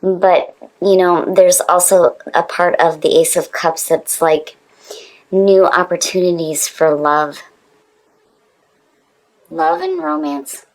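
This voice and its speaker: A woman's voice: on the low side, expressive, slow, vocal fry, long pauses, low-quality recording. A woman speaking as a tarot reader in a casual, conversational style, with an informal, emphatic manner.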